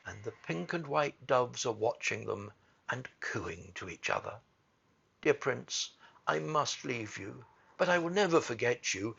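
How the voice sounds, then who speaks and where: A man voicing a devoted, gentle male swallow in a storybook reading, speaking earnestly and pleading softly. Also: medium pitch, vocal fry, slightly rough, muffled recording, exaggerated voice.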